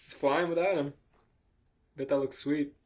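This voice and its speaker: A man's voice: a little high, fairly even intonation, unhurried, darkish tone, a little hiss or hum. A man hosting a comedy podcast, reading fan fiction aloud with casual, jokey banter and ready laughter.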